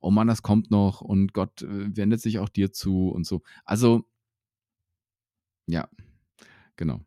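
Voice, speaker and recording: A man's voice: on the low side, unhurried, mellow, no room sound, clean studio sound. A man talking casually and reflectively about his faith, with many fillers and restarts.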